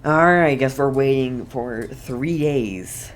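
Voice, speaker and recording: A game streamer chats casually through a playthrough. The delivery is slow and hesitant, as if thinking aloud, with faint background noise. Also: medium pitch.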